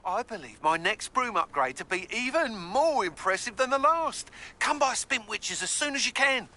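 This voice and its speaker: A man playing a proud, eager broom-shop keeper in a video game, boasting in an animated way over faint background noise. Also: extremely high pitch, moderate pace, full character voice. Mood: proud, excited.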